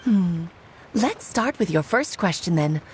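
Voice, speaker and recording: A woman's voice: on the low side, lively intonation, measured pace, slight background noise. A woman voicing a playful, chatty, self-assured goddess in a video game, breezy and theatrical. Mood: breezy, explanatory.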